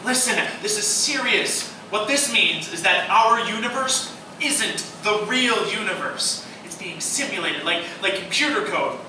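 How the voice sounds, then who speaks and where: A man's voice: sits high, expressive, unhurried. A man playing an anxious, awkward college computer science student who stammers in a live radio theatre play. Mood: alarmed. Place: live stage performance in echoey room. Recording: very echoey hall, distant mic, noisy.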